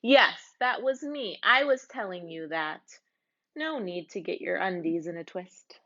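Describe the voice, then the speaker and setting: A woman's voice: fairly low pitch, lively intonation, unhurried, a bit bright, pitched below the performer's own voice, exaggerated voice. Woman playing an exasperated, fussy storyteller, dull audio. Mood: huffy. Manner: indignant, insistent, scolding. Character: exasperated, fussy storyteller narrator arguing with children. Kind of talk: storybook read-aloud.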